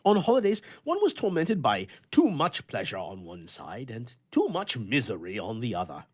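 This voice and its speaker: A man playing a grumpy, flustered bachelor with a soft heart, telling his tale with theatrical bluster and stammering in old-fashioned storybook prose. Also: medium pitch, wildly swooping pitch, moderate pace, breathy edge. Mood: irritated, grumbling.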